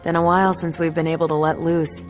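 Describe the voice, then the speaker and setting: A woman's voice: low voice, little pitch movement, fast talker, music in the background, natural voice. A woman playing a composed, troubled female superhero in a video game cutscene, reflective and sincere. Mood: wry, relaxed.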